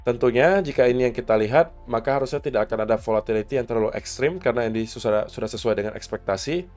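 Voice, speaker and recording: A man with a slightly hoarse, rough voice from a cough explains market data in a steady, casual way, speaking to his viewers as friends. He sits at a medium pitch, and faint music plays softly behind him. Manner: explains steadily, mixing English market terms. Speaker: crypto market commentator.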